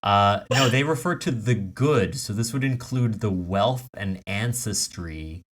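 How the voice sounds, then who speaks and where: Low-voiced man hosting playful podcast banter. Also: animated, measured pace. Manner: playfully teasing. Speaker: comedy podcast host and quizmaster. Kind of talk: casual comedic quiz banter.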